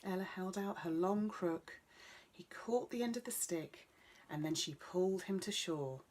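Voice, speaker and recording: A woman's voice: lowish voice, a little slow, a little mellow. A woman narrating a children's bedtime story as a storyteller.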